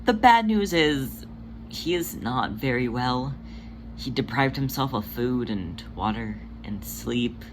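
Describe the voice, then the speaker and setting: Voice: medium pitch, lively intonation, slow pace, slight background noise, rough recording. A narrator voicing a caring female cat healer in a careful, hesitant, reassuring way.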